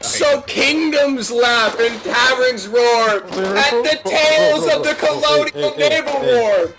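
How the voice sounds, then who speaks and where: A man recites mock-heroic verse at the top of his very high-pitched voice. He stretches the lines slowly and declaims them like a slam poet in a game chat, with loud music behind him. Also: creaky. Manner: declamatory, drawn-out, shouting over game action. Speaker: video game player reciting a mock poem.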